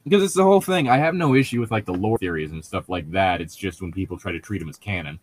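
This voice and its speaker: A man's voice: low voice, lively intonation, quick pace, husky. A man co-hosting a read-along show, chatting casually in an opinionated, rambling, sweary way. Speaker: co-host of a group read-along commentary show. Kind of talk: casual chat and mocking commentary.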